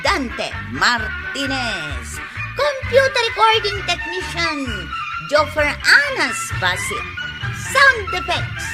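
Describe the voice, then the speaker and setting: A woman announcer with an Ilocano accent reads radio drama credits over loud music. Her very high voice swoops dramatically and moves slowly.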